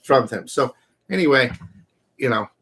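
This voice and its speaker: Male host, mid-pitched voice, loose and slangy, unscripted film chat. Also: lively intonation, normal speed, a bit bright. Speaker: host of a live movie review show. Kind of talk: casual unscripted film discussion.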